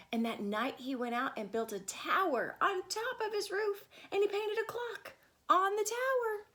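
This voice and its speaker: A woman reading a picture book aloud to children, expressive and stopping to ask her listeners questions. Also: high-pitched. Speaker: storyteller.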